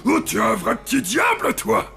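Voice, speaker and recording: A gushing gravelly bogeyman. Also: high-pitched, huge pitch swings, normal speed, full character voice. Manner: exaggerated, gushing exclamations. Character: delighted bogeyman monster, theatrical and gushing. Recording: cartoon dub with background music.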